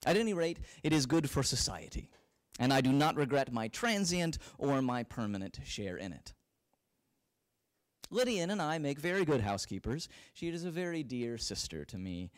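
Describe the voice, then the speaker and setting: A young man playing an earnest, wry nineteenth-century American letter writer in a deliberate, theatrical dramatic reading. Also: mid-range voice, sing-song, no room sound, close to an everyday voice. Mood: reflective. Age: young adult. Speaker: dramatic reader. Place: small library meeting room with audience. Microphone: handheld close to mouth.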